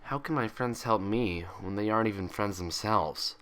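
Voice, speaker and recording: A man reads the part of a young female pony in a storybook, brooding and uneasy. She questions herself anxiously in a bright, tense tone. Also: lowish voice, moderate pace, clean tone, close to an everyday voice. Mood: anguished doubt.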